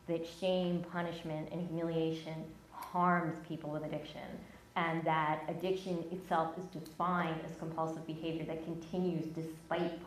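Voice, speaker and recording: A woman panelist arguing her point forcefully about how addiction is treated. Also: fairly low pitch. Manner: forceful, argumentative. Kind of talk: panel discussion. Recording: echoey, distant from the mic.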